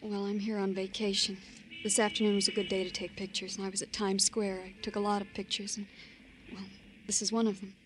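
An actress playing a prim, earnest American tourist witness in an old-time radio crime drama. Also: female voice, mid-range voice, little pitch movement, fairly quick, close to an everyday voice. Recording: old radio recording, faint noise.

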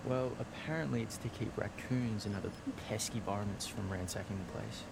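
A laid-back young camp counselor with a low, heavily gravelly voice. He talks in an easy, teasing way over loud game ambience. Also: brisk, very noisy. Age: young adult. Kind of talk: cinematic video game dialogue.

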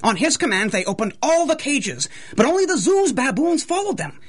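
A man's voice: very high voice, very animated, moderate pace. An actor playing a flustered, irritable male zookeeper in a radio adventure, exasperated and stammering. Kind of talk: 1930s-style radio adventure acting.

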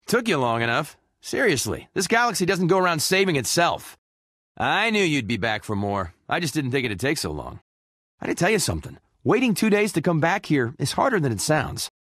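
A man's voice: a little high, a bit bright, dry recording, clean studio sound. A man voicing a cocky, playful young space outlaw hero in a video game, breezy and wisecracking. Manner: breezy, wisecracking, self-assured. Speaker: video game character voice actor. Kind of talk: animated video game voice clips.

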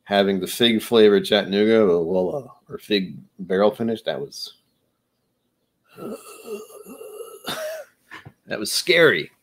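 A man's voice: lowish voice, animated, slow pace, pauses often. A man in his thirties chatting casually on a home livestream, rambling and self-mocking, sometimes trying out joke accents. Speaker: streamer. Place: home room with shelves of bottles.

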